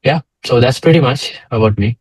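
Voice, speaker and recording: A man's voice: mid-range voice, a little fast, a little mellow, slightly rough, no echo, rough recording. A young man from Sri Lanka co-hosting a casual slide talk over a video call, hesitant with many fillers and restarts. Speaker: co-host, data engineer. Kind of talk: casual presentation chat.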